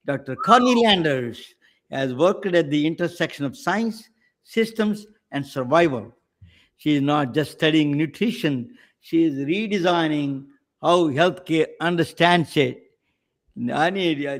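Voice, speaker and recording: A man with an Indian accent hosting a webcast interview, halting and restarting often. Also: a little high. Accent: Indian English. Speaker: webcast host.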